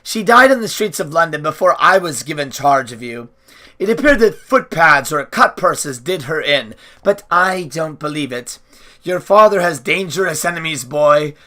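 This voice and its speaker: A man's voice: on the high side, expressive, normal speed, sharp tone. A man voicing a small, miserable, nervous messenger in a storybook, hesitant and wailing as he explains himself. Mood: grave, fearful.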